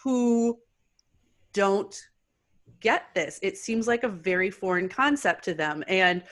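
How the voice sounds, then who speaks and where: A woman hosts the conversation in a bright, forward voice at a middle pitch. She talks thoughtfully and frames her questions around parents' concerns. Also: slow pace. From rural Wisconsin, US Midwest. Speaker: podcast host. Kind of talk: conversational interview.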